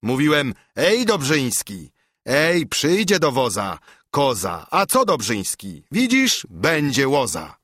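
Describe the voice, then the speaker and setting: A man's voice: mid-range voice, huge pitch swings, slow pace, a bit bright, slightly rough, no room sound, clean studio sound, voice pushed up, full character voice. A man acting out a haughty, blustering bully army major. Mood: gloating, indignant. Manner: angry, sneering, menacing.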